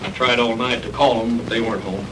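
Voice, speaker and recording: An actor playing a matter-of-fact investigator giving a report in a 1960s B-movie. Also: male voice, mid-range voice, steady tone, quick pace, lightly acted. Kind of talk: 1960s B-movie dialogue acting. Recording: distant, noisy 1960s film soundtrack.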